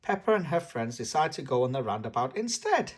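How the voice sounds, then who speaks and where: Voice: mid-range voice, sing-song, a little fast, husky. A host reading a picture book aloud expressively for young children. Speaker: storybook channel host. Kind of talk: children's read-aloud narration.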